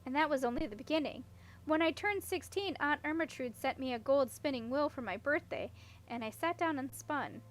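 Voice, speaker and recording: A woman's voice: high voice, animated, normal speed. A woman voicing an awkward, unlucky young princess in a storybook, earnest and rambling as she explains herself. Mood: earnest storytelling. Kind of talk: audiobook character voice.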